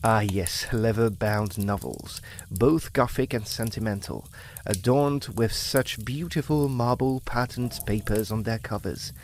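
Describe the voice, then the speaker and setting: A man's voice: on the low side, measured pace, slight background noise. A man playing a polite, earnest young farmer asking a father for his daughter's hand, in an old-time radio drama. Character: earnest young farmer suitor, Walter Markham.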